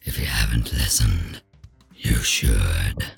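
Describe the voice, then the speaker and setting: Trailer-style announcer: rock-bottom pitch, heavy gravelly croak, slow delivery. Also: female voice, soft background music, exaggerated voice. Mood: ominous.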